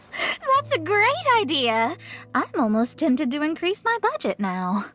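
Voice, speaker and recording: A woman's voice: very high-pitched, huge pitch swings, slow, sharp tone, soft background music, exaggerated voice. A woman voicing an earnest, self-assured young astrologer in a video game. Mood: pleased, confident. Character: proud but earnest young female astrologer. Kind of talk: animated video game acting.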